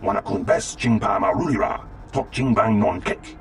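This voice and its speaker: Gravelly low alien. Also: male voice, moderate pace, a little hiss or hum. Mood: gruff, insistent. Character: gruff male alien speaking an invented tongue. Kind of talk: video game character acting. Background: music.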